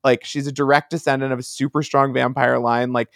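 A man's voice: medium pitch, level tone, a little fast, vocal fry, dry recording, clean studio sound. A man co-hosting a podcast, chatting casually and enthusiastically as a fan. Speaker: podcast co-host.

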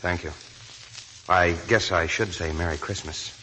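Polite lieutenant for period radio drama: low pitch, bright edge, sympathetic, understated, faint hiss. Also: male voice, fairly even intonation, measured pace, poor audio. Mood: subdued. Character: courteous, sympathetic young army lieutenant. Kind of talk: 1940s radio drama acting.

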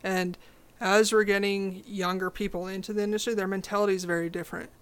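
A young woman with a low voice, talking as a podcast guest in a direct, confident, casual way. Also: fast, clear, resonant tone. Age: young adult. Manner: confident, direct, animated storytelling. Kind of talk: casual interview chat.

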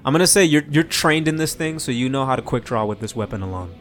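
Male game master, mid-pitched, casual and playful narration, music bed behind. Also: lively intonation, fast talker, fairly bright tone.

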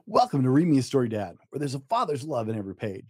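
A man's voice: mid-range voice, big pitch swings, fast, a little mellow, breathy edge, dry recording, studio quality, soft background music. A man hosting a children's story podcast, reading scripted introductions and narration for young listeners. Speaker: podcast host and story reader.

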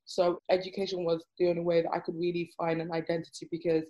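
A woman's voice: lowish voice, completely flat delivery, fast, mellow, low-quality recording. A woman in her thirties with a British accent, talking candidly as an interview guest in informal, looping sentences. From born in Somaliland, grew up in Cardiff and Manchester, UK. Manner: talks in informal, looping sentences with frequent 'like, you know'. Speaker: podcast guest, activist.

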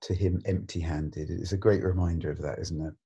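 A man's voice: low voice, fairly even intonation, fairly quick, dark tone, husky. A middle-aged man hosting a casual church podcast interview, asking questions and adding short reflections.

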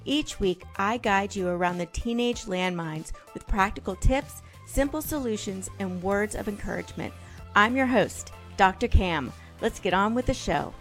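An enthusiastic woman hosts a parenting podcast, welcoming her listeners and eagerly introducing a guest. Faint music sits softly behind her voice. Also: mid-range voice, animated, normal speed. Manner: upbeat, enthusiastic. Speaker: podcast host, parenting coach. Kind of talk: podcast intro and live interview hosting.

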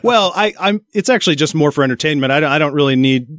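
A man's voice: fairly high pitch, expressive, fast talker, a bit bright, no room sound. A man with an American accent hosting a casual panel discussion on a podcast. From United States. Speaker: podcast host.

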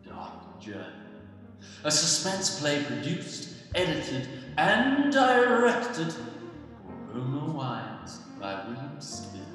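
Cavernous reverb, male actor as ominous radio announcer, slow, dramatic swoops. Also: a little high, huge pitch swings, fairly bright tone, exaggerated voice. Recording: heavy echo, long reverb, music behind.

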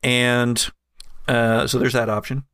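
A man narrating a tabletop game as game master, relaxed and chuckling, with many fillers. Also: mid-range voice, takes it slowly, clear, resonant tone, no room sound, clean studio sound.

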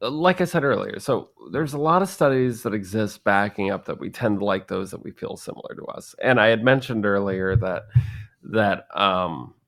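A man's voice: on the low side, a bit bright. A man in his thirties co-hosting a casual podcast chat, rambling and joking, often acting out the people he quotes. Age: 30s. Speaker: podcast co-host.

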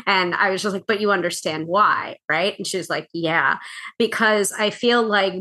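A woman's voice: medium pitch, normal speed, sharp tone. A woman co-hosting a podcast, a tarot reader chatting casually and laughing easily as she tells stories.

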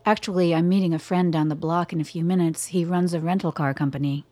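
A woman in a radio play playing an enigmatic, self-assured woman with an unhurried, cryptic and teasing manner. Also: on the low side, steady tone, mellow, natural voice. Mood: cool, teasing. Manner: unhurried, cryptic, at times distant.

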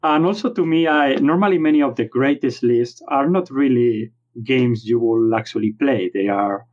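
A man with a Spanish accent who writes about board games, talking in a casual, animated interview with some restarts. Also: mid-range voice, moderate pace. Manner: animated, self-deprecating, frequent restarts. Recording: dull lo-fi remote audio.

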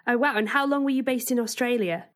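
A woman's voice: fairly high pitch, fast. A woman podcast host putting friendly, prepared interview questions to a guest.

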